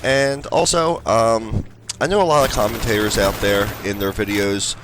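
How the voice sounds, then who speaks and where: A young man with a slightly low, congested voice talks casually through a gameplay video, rambling a little with ums and uhs. Gunfire and explosions from the game are loud behind him. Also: moderate pace, background noise. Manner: chatty, rambling with fillers. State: stuffed up, congested. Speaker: gaming channel host.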